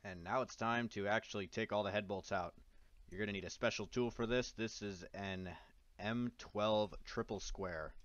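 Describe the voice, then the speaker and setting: A man's voice: low-pitched, a bit bright. A man narrating a casual how-to video as its host, with wry, self-deprecating asides, in a voice-over. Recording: dull, lo-fi, dry voice-over.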